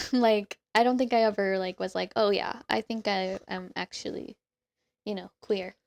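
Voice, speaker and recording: A woman's voice: mid-range voice, fairly even intonation, normal speed, creaky, a little mellow, no echo. A young woman co-hosting a podcast, agreeable and slangy in casual chat. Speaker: podcast co-host.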